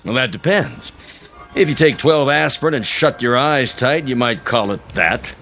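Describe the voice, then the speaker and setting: A man's voice: medium pitch, expressive, normal speed, fairly bright tone, faint music behind. An actor playing a pompous, folksy and shrewd veteran politician, an older man, in an old-time radio drama. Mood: skeptical, probing. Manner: pompous, wheedling, theatrical.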